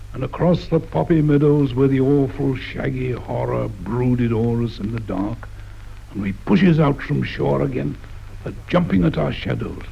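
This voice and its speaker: A man's voice: medium pitch, vocal fry, husky. A man reading his own poem aloud in a rhythmic, sing-song recitation, on a dull old tape recording. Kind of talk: poetry recitation.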